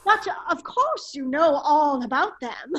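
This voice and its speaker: A woman playing a foppish, teasing English gentleman hero in a theatrical radio play read, affectedly polite and giggly. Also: high-pitched, sing-song, slow, exaggerated voice, low-quality recording. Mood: gleeful mockery.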